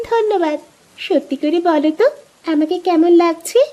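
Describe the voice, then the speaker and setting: A woman's voice: extremely high pitch, measured pace, mellow, dull audio. A woman voicing a vain, idle young village wife in a cartoon, coquettish and petulant. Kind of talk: cartoon dialogue.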